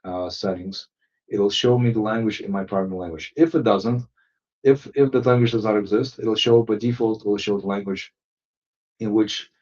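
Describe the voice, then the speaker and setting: A man presenting a software webinar, explaining settings step by step with many fillers and restarts. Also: fairly low pitch, steady tone, moderate pace. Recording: distant, muffled lo-fi audio.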